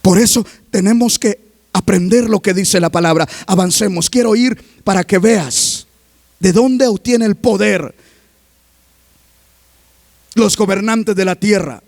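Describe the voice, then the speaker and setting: A man preaching a sermon as pastor, reading scripture aloud and constantly asking the congregation questions, rising to emphatic shouts. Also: high-pitched, a little slow, creaky, pauses often, dry recording, clean studio sound. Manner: reads scripture aloud between remarks.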